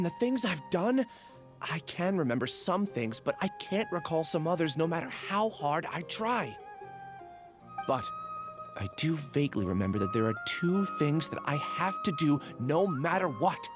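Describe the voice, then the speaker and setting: An actor playing a confused young male researcher with lost memories in a game, hesitant and apologetic. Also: a little high. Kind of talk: game voice acting.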